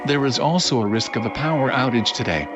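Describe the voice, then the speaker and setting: A man's voice: mid-range voice, fast, lo-fi sound. Male emergency alert announcer over music.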